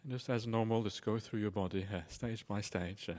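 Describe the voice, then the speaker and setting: A man leading a guided meditation as a teacher, speaking in a soothing, unhurried and reassuring manner. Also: lowish voice, darkish tone. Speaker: Buddhist monk and meditation teacher.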